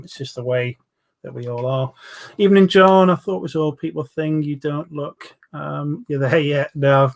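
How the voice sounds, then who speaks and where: Forties London bloke hosting a live stream; chatty, banters with viewers, plenty of ums. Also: male voice, a little high. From England. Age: 40s. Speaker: livestream host reviewing vape juices. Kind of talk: casual live chat reading viewer comments.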